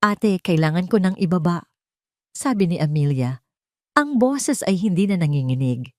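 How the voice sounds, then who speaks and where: Woman, Filipino accent, low pitch, dry recording, scripted dramatic storytelling. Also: a little mellow, no room sound. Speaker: audio story narrator.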